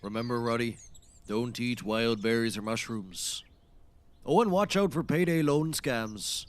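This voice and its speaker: An actor playing a folksy, dryly sarcastic backwoods man in a scripted comedy, with deadpan delivery. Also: mid-range voice, unhurried, slight background noise, lightly acted. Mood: casual, wry. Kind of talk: scripted audio comedy acting.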